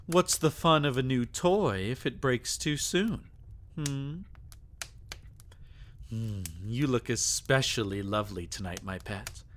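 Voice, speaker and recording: A man's voice: mid-range voice, fairly bright tone. A man acting as a sadistic, possessive vampire lord in an intimate ASMR roleplay, teasing and taunting with theatrical, menacing endearments. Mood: seductive, mocking.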